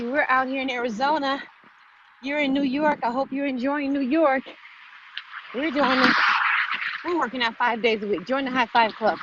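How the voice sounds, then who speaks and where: A woman co-hosting a live fitness vlog, urging viewers on and repeating slogans. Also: high-pitched. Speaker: vlog co-host and fitness motivator. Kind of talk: motivational live-stream chat. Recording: muffled audio with clear background noise.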